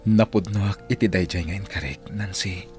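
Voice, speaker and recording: A man's voice: deep voice, normal speed, over music, close to an everyday voice. A man playing a sincere, sentimental young husband in an Ilocano radio drama, with an Ilocano accent. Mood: tender. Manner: earnest, sentimental.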